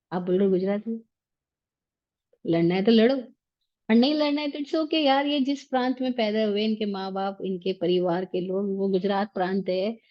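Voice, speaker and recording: Woman scripture teacher, lecture, chatty and questioning, slightly muffled lo-fi sound. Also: medium pitch. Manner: conversational, rhetorical questions, mixes English phrases. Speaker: Jain religious teacher.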